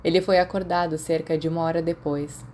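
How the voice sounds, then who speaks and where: Mellow Brazilian woman narrating a story. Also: deep voice, steady tone, fairly quick, a little hiss or hum. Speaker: fan fiction audiobook narrator.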